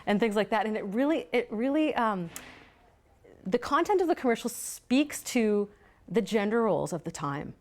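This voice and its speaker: A woman's voice: fairly high pitch, big pitch swings, normal speed, a little mellow. A woman radio theatre performer chatting casually in an interview.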